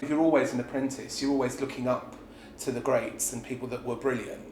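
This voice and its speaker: A man's voice: mid-range voice, steady tone, brisk, a little mellow. British man talking in an echoey room. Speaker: pantomime dame performer. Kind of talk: reflective interview talk. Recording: roomy echo with background noise.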